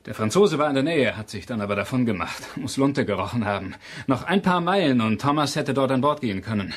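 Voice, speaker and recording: A man acts a deferential police sergeant in a crime radio drama. He reports briskly in a medium-pitched voice with animated intonation. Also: natural voice. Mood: brisk, satisfied.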